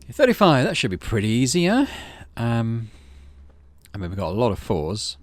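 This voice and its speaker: A man's voice: medium pitch, wildly swooping pitch, normal speed, dry recording. A man hosting a gaming let's play, chatting and thinking aloud between stretches of read-out narration. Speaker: gaming video host. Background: game music.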